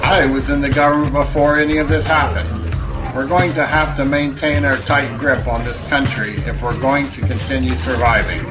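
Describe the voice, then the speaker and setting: An actor in an audio drama playing an authoritarian government commander with a measured, businesslike manner. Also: male voice, mid-range voice, steady tone, over music, natural voice, poor audio. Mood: stern, businesslike.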